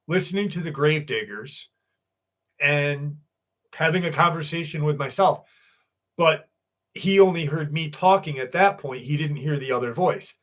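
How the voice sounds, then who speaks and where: A man hosting a live stream, telling stories in a loose, profane way and greeting viewers by name. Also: fairly high pitch. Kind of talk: casual live stream chat and storytelling.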